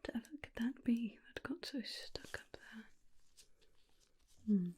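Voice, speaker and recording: A tender vampire lady, played by a woman, speaks in a hushed, breathy whisper. She fusses lovingly over her darling, reassuring softly and without hurry. The delivery is intimate ASMR roleplay, gentle and soothing throughout. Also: on the high side. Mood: coaxing, reassuring. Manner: soothing, unhurried, tender reassurance with repeated soft phrases.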